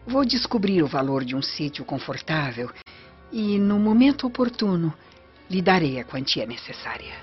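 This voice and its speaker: Coldly ambitious noblewoman, Brazilian accent, commanding, formal, radio serial, dull recording, clear music behind. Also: lowish voice, sing-song, moderate pace. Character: imperious, scheming Roman noblewoman, coldly ambitious. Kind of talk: radio drama acting. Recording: muffled radio drama with prominent music.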